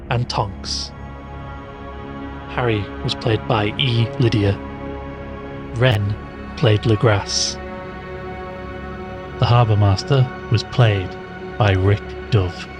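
A man reading scripted end credits for a horror audio drama as its announcer. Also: fairly low pitch. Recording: loud eerie music behind the voice.

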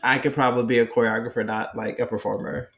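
A man's voice: medium pitch, steady tone, fast, creaky, fairly bright tone. Nasal-voiced young man, self-deprecating chat. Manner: self-deprecating, talks in restarts. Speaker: podcast co-host. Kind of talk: casual chat.

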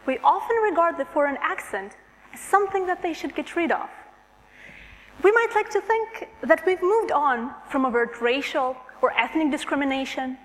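A woman's voice: high voice, a bit bright. A woman with a Russian accent, a native Russian speaker, giving a prepared, composed three-minute thesis talk to an audience. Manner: clear, composed, engaging with the audience. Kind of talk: prepared public speech. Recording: long hall reverb.

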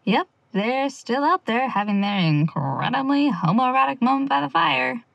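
A woman playing a wry young amateur investigator in an audio drama, quietly talking through her notes in a rambling, sardonic, confiding way. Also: high-pitched, expressive, normal speed, creaky, no echo, muffled recording, full character voice. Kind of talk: audio drama monologue, casual confiding log.

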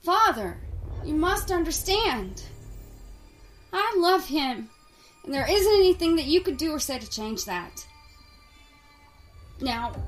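Secretive young woman, played by an actress, guarded, hushed, fairly high voice, faint music under it. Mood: pleading. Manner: hushed, guarded, then pleading. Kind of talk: audio drama acting.

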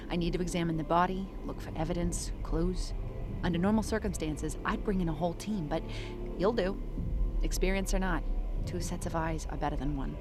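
A woman plays a no-nonsense female detective in an audio drama. Her voice sits a little low, and she talks in a blunt, dry, commanding way over clearly audible music. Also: brisk, lightly acted. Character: tough, wry, no-nonsense female police detective. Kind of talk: scripted audio drama acting.

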